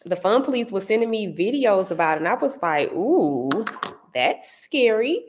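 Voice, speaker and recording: Woman, St. Louis accent, thirties, casual vlog chat, animated, searches for words, home room, mid-range voice. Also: medium pitch, moderate pace, slight background noise. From Saint Louis area. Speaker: vlogger answering viewer comments.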